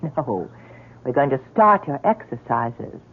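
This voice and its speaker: Husky, gentle young woman, vintage radio. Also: rumbling low, sing-song, slow pace, exaggerated voice. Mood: brisk, dutiful. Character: gentle, dutiful young German woman, tender yet guarded. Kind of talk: old-time radio drama acting. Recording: narrow, tinny vintage radio recording.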